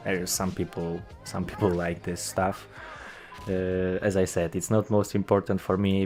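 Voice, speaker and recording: A man's voice: sits low, darkish tone. A young man from Poland with a Polish accent, speaking English as a second language, commenting on a game's updates with many hesitant filler sounds. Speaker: gaming YouTuber.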